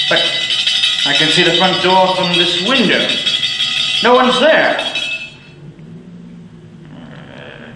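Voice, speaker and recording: An actor playing a miserly, grumbling old moneylender in an old-time radio play, with a gruff, scolding way of talking. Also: male voice, on the high side, heavily acted. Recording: echoey hall with faint hiss.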